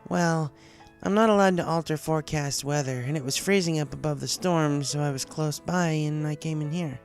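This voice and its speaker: A young runaway pegasus mare acted by a man in a raised pitch. The cartoon pony talks hesitantly, awkward and guarded but blunt, with music behind the voice. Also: moderate pace, vocal fry. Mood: sheepish, apologetic.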